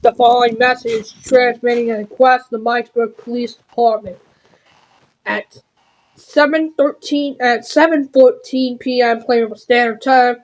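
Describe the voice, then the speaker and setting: A woman reading out an improvised mock emergency alert in a halting, deliberate way, with stumbles. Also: on the high side, fairly even intonation, low-quality recording.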